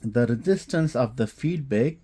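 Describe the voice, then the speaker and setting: A man giving an unscripted technical product demonstration, explaining haltingly with frequent hesitations and repeats. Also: mid-range voice, expressive, dark tone, husky. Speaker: host demonstrating audio equipment.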